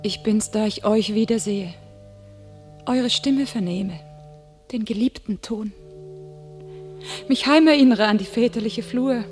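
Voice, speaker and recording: An actress playing a young peasant heroine in a classic radio drama, speaking impassioned, declamatory verse. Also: female voice, on the high side, measured pace, pauses often, a little mellow, lightly acted. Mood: longing. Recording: music under the radio play.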